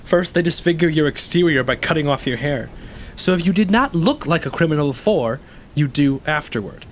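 A wounded, bitter male ex-convict, acted by a man, speaks in a fairly high voice. He is intense and cunning, pausing and stressing words theatrically as in a radio play. Faint rainy noise sits behind him. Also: animated, close to an everyday voice. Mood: anguished, bitter. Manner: dramatic, halting, emphatic. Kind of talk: stage-play dialogue in an audio drama.

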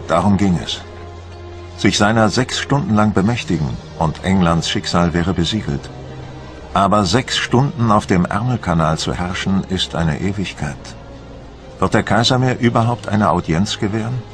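An actor playing a proud, embittered French admiral, solemn and theatrical, in a dramatised documentary monologue. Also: male voice, sits low, close to an everyday voice. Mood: brooding, defiant. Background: music.

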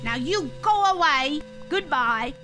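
A woman's voice: high-pitched, animated, slow, over music. A woman playing a prim, wary old small-town housewife in a radio drama, speaking dismissively. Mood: indignant, dismissive.

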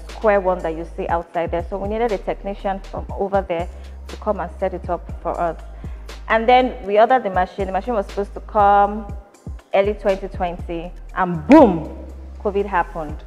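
A Nigerian woman in her thirties, a company founder, answering interview questions in a measured, thoughtful way with frequent fillers, recorded indoors in a factory. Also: mid-range voice, darkish tone, in a large hall.

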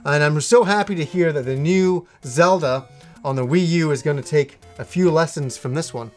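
A man in his thirties chatting casually as co-host, telling lively stories and swearing freely, his voice a bit worn. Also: a little high, normal speed, soft background music. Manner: animated storyteller, swears freely. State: losing his voice. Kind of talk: casual chat about retro games. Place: room lined with game shelves, indoors.

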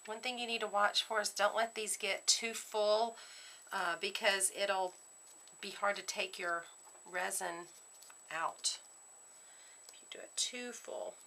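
A woman giving a casual step-by-step craft demonstration at her worktable, chatting in a relaxed way while working with her hands. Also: medium pitch, slow pace, long pauses, a little hiss or hum. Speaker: craft tutorial host.

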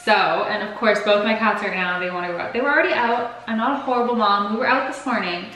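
A woman's voice: mid-range voice, fast talker, bright, forceful tone. Chatty sniffly woman in an echoey kitchen. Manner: chatty, rambling, talks to her cats. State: slightly sick, sniffly. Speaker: vlogger. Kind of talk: casual chat to camera. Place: home kitchen, indoors.

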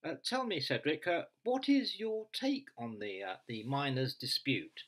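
A man's voice: fairly high pitch, lively intonation, a little slow, a bit bright. A man voicing a polite radio phone-in host who speaks hesitantly, stumbling over his words.

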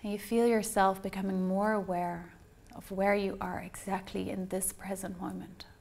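Woman doing guided meditation, soothing and unhurried, kinda even tone w lots of vocal fry. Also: medium pitch. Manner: soothing, unhurried, gently instructing. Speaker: meditation guide, yoga instructor.

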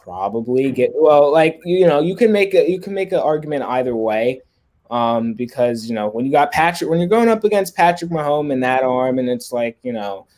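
A young man hosts a casual football chat over a webcam call. He talks in halting bursts, restarting his sentences as he passes questions to the others. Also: medium pitch, animated, a little mellow. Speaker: host.

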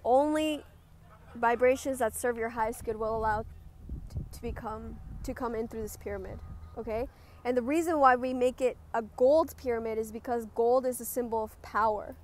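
A woman leading a group guided meditation, giving gentle, instructive cues. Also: fairly high pitch, steady tone, normal speed. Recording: clear background noise, ambient sound.

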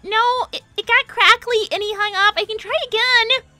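A woman's voice: extremely high pitch, steady tone, normal speed, pushed and bright, soft background music, heavily acted. A woman voicing a sweet, lovestruck young female cartoon hedgehog who speaks in an earnest, insistent, girlish way. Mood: puzzled. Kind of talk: improvised in-character livestream Q&A.

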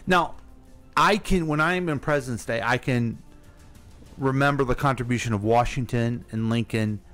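A man's voice: medium pitch, moderate pace, fairly bright tone, faint music behind. A man co-hosting a radio show in casual, informal conversation. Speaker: radio co-host asking questions.

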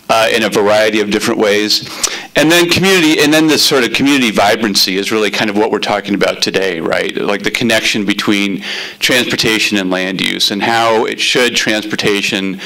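A middle-aged man, a city transportation planner, giving a loud, chatty talk to a community meeting. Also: on the low side, rough recording. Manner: loud, chatty, relaxed explaining.

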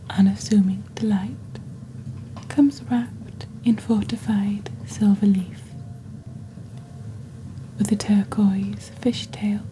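A woman speaking in a breathy, whispery ASMR style, close to the microphone, with a deliberate theatrical delivery as she presents a scripted roleplay. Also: mid-range voice, slight background noise, rough recording. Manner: slow, deliberate, hushed, theatrical storyteller delivery. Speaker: host of a roleplay shopping channel. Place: indoors at a desk. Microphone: close desktop microphone.

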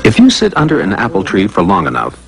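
Animated male narrator with sweeping pitch. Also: fast talker, creaky, soft background music. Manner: playful, conversational, rhetorical questions. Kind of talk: scripted educational cartoon narration.

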